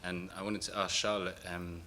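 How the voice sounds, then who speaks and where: A man's voice: low-pitched, little pitch movement, moderate pace, sharp tone, a little hiss or hum. A man in the audience making a loose, rambling remark at a talk, correcting himself as he goes. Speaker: audience member asking a question.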